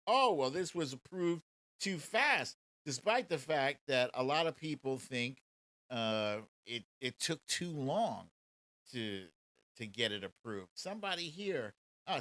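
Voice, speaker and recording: A man's voice: medium pitch, fairly bright tone, dry recording. A man hosting a casual political commentary livestream in a sarcastic, mocking and profane manner. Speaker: show host.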